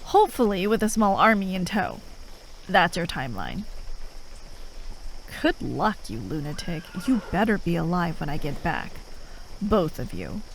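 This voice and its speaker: An actress as a sharp-tongued female military operative in a fantasy roleplay, exasperated and reluctantly caring. Her pitch swings widely while her pace stays slow, broken into short sardonic phrases. Faint night ambience sits behind her. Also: very animated, gravelly, a little hiss or hum, full character voice, low-quality recording. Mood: reluctant, worried. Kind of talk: fantasy audio roleplay acting, addressed to a listener.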